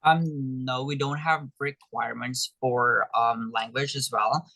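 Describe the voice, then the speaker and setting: A man's voice: medium pitch, fairly even intonation, takes it slowly, gravelly, bright, forceful tone. A man whose first language is Tagalog gives brief, easygoing answers as an airline ground agent on a panel. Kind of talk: casual panel talk.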